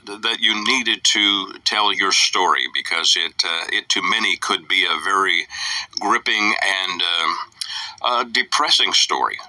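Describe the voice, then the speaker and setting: A man's voice: sits low, measured pace, bright, forceful tone, dry recording, low-quality recording. A man hosting an author interview, asking questions with many uhs and a little light joking. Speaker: talk show host. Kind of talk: interview host.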